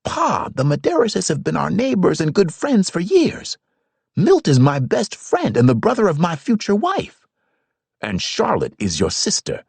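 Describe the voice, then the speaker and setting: A man's voice: high voice, huge pitch swings, measured pace, creaky, darkish tone, breathy edge, no echo, voice pushed up, exaggerated voice. A man reading aloud, voicing an angry young man who insistently defends his best friend against his sister. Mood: accusing. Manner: accusing, insistent.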